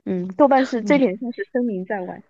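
A woman's voice: mid-range voice, fairly quick, no room sound, highs cut off. A woman in her thirties chatting casually like with an old friend, in a playful, self-mocking way. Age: 30s. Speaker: podcast host.

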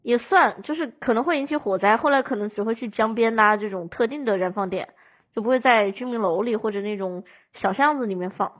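A woman's voice: a little high, fairly quick. A young adult woman in a casual podcast chat, speaking in a sensible, explanatory way.

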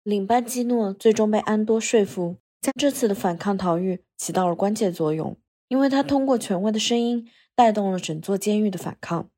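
A woman hosting a podcast, giving scripted narration in a measured storytelling manner. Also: mid-range voice, mellow. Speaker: podcast host.

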